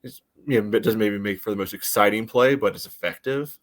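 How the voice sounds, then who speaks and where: A man with a fairly low voice talks as a sports podcast co-host. His analysis is casual and conversational, and he qualifies his points as he goes. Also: a little fast.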